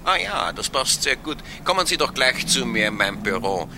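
A businesslike male architect heard down a telephone. His voice is very sharp and piercing with clipped phrasing, over faint vintage radio noise. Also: mid-range voice, very animated, moderate pace, full character voice. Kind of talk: 1960s radio drama acting.

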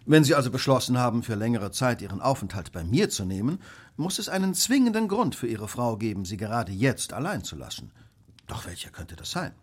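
A brilliant, self-assured Victorian detective in a radio drama. His husky voice swings widely in pitch as he lectures with precise, smug certainty. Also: sing-song, fairly quick. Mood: analytical, assured. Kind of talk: German radio play acting.

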